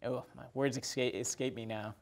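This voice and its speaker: A man talking casually about a film as a podcast guest, haltingly and with many uses of "like". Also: mid-range voice, flat delivery, gravelly. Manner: hesitant, halting, often repeats "like".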